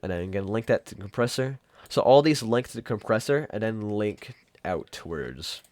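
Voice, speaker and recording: Deep-voiced man, offhand software tutorial. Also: animated, normal speed, no room sound. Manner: offhand, rambling, informal with mild swearing. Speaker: tutorial presenter. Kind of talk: casual software tutorial, off-the-cuff.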